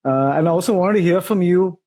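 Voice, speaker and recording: Husky, mellow-voiced male interviewer. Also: high-pitched, brisk. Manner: encouraging, stammers while asking. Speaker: interviewer host. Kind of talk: casual interview questions.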